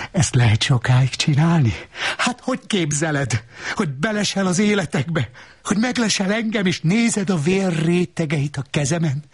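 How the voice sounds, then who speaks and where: A man voicing a middle-aged woman who mocks and scolds in a shrill, reproachful way. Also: slightly rough, exaggerated voice.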